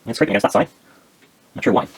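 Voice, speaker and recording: A man casually narrating a hands-on DIY build, haltingly thinking aloud as he works. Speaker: DIY maker demonstrating a build.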